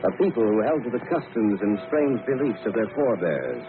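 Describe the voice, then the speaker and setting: An actor playing a grave, level-headed adventurer, narrating and speaking in a measured way in an old radio drama. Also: male voice, lowish voice, big pitch swings. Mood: narrating tensely. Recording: noisy, tinny old radio recording.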